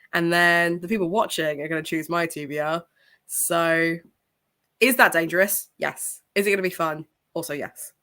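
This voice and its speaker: A young woman hosts a casual book livestream, her voice sitting a little low and thick from a blocked nose and sore throat. She chats warmly with viewers, greeting them by name and breaking into laughter often. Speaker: book channel host.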